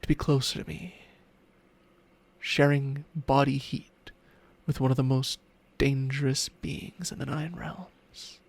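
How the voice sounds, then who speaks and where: A man's voice: mid-range voice, little pitch movement, slow pace, creaky, husky, full character voice. A man playing a smug, possessive ancient dragon in human form, teasing and condescending in an intimate ASMR roleplay with formal, archaic phrasing. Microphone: close microphone, spatial ASMR recording.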